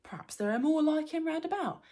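A woman voicing a big, hungry, bad-tempered male troll with rough rustic slang, in a storybook reading. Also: high-pitched, animated, a little fast, warm tone, voice pushed up. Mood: suspicious, menacing.

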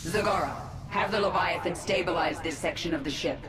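A woman's voice: fairly low pitch, brisk, very noisy, close to an everyday voice. A woman playing a vengeful alien queen who commands a swarm in a video game, grim, terse and authoritative. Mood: commanding. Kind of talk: video game character acting. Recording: echoey, over loud game music.

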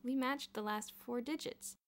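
A woman's voice: on the high side, a little slow, voice pushed up. Woman as an emphatic, brash scheming schoolboy. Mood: earnest, pleading. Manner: emphatic, eager, insistent.

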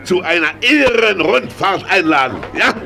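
High-pitched, ceremonious jovial speechmaker. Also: male voice, big pitch swings, vocal fry, very noisy, heavily acted. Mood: festive, pompous. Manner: ceremonious, drawn-out delivery. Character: jovial man making a pompous ceremonial opening speech. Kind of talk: children's audio drama acting.

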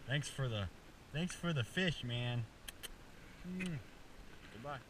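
A young man talks in a loose, slangy way as he fishes, often exclaiming. His voice sits in a middle pitch and comes from a distant mic, with clear outdoor noise around it. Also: animated, takes it slowly. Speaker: fishing vlogger. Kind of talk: casual excited chat while fishing. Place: outdoors on a small boat on a lake. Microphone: action camera worn on the body.

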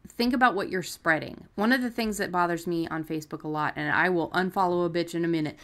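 A woman's voice: fairly low pitch, fast talker, fairly bright tone. A woman co-hosting a podcast, talking casually and off the cuff in a blunt, salty-tongued way. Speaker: podcast co-host. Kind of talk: casual unscripted discussion.

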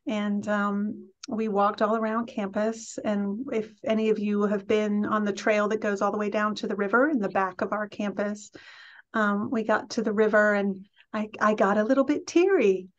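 A woman's voice: mid-range voice, fairly even intonation, normal speed. Middle-aged woman from England, guest speaker on a call: friendly, chatty, filler-heavy, muffled lo-fi sound. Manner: friendly, chatty, many ums and restarts. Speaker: college president, guest speaker. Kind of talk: casual talk on a video call. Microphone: video call. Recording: dull lo-fi video call audio.

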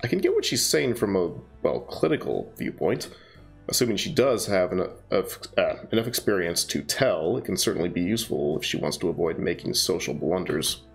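A young man reads a visual novel aloud into a headset mic, breaking off for jokes and asides. His voice is low and gravelly, yet his pitch moves around a lot. Faint guitar music plays behind him. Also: sing-song, normal speed. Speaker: streamer reading a visual novel. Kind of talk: casual let's-play narration.

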